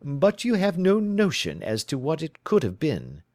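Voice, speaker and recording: A man playing a self-assured Victorian detective who speaks crisply and with authority. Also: a little high, huge pitch swings, a little slow, darkish tone, no echo, studio quality, voice pushed up. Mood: authoritative.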